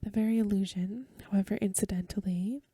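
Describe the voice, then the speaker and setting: Breathy whispering woman reading softly. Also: medium pitch, steady tone, brisk, no room sound, studio quality. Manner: gentle, hushed, careful reading. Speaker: ASMR host. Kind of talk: soft-spoken ASMR story reading. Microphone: close microphone.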